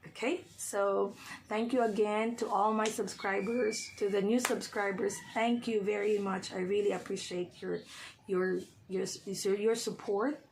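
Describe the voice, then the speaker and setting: A woman tutor with a hoarse voice, talking casually to her viewers and often checking in with "okay?". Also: mid-range voice, fairly even intonation, unhurried, darkish tone, a little hiss or hum, low-quality recording. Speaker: reading tutor. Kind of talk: casual vlog talk to viewers.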